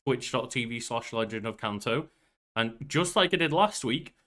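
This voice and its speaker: A young man with a bright, forward voice. He talks casually and conversationally, like a streamer co-hosting a podcast. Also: medium pitch, lively intonation, normal speed. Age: young adult. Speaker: podcast co-host and game streamer.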